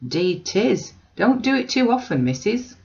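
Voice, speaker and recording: A woman's voice: fairly high pitch, sing-song, a little slow, creaky, a little mellow, voice pushed up, exaggerated voice. A woman reader puts on a working man from a classic storybook. He is weary and respectful, with plain dialect phrasing. The sound is slightly muffled.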